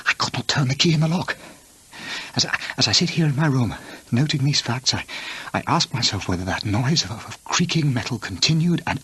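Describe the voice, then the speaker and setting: An actor playing a terrified, haunted English gentleman scholar in a radio drama, stammering and repeating his words in a husky, breathy voice. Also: medium pitch, full character voice, rough recording.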